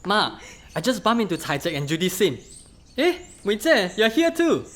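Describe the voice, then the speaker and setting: A woman's voice: medium pitch, sing-song, moderate pace, natural voice. A woman playing a coaxing, insistent young office worker in a radio play, with a Singaporean accent. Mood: excited. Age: young adult. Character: earnest, persuasive young working adult child, office employee.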